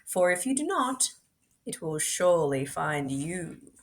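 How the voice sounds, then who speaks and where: A woman reading a storybook aloud expressively, in a measured, dramatic way with deliberate pauses. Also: on the low side, big pitch swings. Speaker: teacher reading books aloud.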